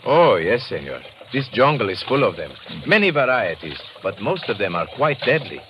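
An actor playing a polite, self-sacrificing river guide with a Spanish accent and formal English, in an old radio drama. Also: male voice, medium pitch, very animated, moderate pace, dull audio, lightly acted. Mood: calm, warning. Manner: formal, deferential, uncontracted English. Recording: noisy old radio recording.

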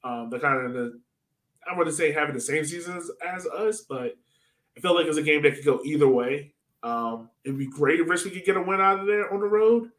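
A man's voice: fairly high pitch, a little fast, a bit bright, echoey room. A man hosting a podcast, chatting casually about sports in an informal, slangy way. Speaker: podcast host.

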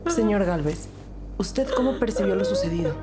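A woman playing a composed police detective who narrates an audio drama in a measured, storytelling way. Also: mid-range voice, big pitch swings, over loud music, close to an everyday voice. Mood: composed, professional.

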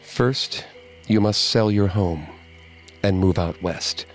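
A man playing a solemn male spirit medium who gives grave warnings in a steady, slow voice. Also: deep voice, faint music behind.

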